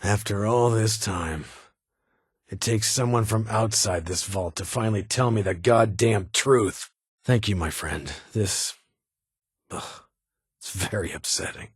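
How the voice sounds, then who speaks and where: An actor playing a stern, weary security chief in a video game, with a husky voice and a formal, clipped, authoritative manner. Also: male voice, lowish voice, a little slow, no echo, studio quality. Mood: grave, guarded. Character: stern, weary male vault security chief, guarded but honorable.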